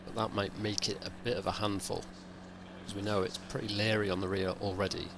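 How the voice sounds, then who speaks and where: Croaky man, low gravelly voice. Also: flat delivery, brisk, noisy background. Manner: rambling, thinking aloud. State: croaky, hungover with a cold-like voice. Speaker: sim racing streamer. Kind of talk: casual live-stream commentary.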